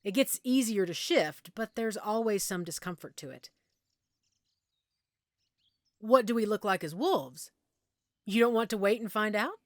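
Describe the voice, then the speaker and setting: A woman playing a friendly werewolf pack member in an intimate audio roleplay, patiently explaining and gently reassuring the listener. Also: mid-range voice, animated, a little slow, pauses often, no room sound, clean studio sound, close to an everyday voice. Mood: patient, explanatory.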